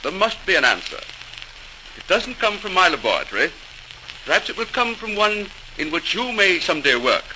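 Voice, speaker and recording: Earnest middle-aged scientist, 1940s radio drama, widely swinging pitch, hissy transcription. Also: male voice, big pitch swings, fairly quick, pushed and bright, heavily acted, rough recording. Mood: resolute, declaiming. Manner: lecturing aloud, muttering to himself while working.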